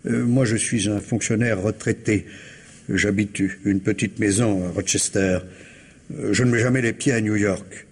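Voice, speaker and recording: A man's voice: lowish voice, fairly even intonation, moderate pace, husky. An actor playing a reserved, courteous retired civil servant in his sixties in a French radio mystery, hesitant, formal and precise. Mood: grave, reserved. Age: older.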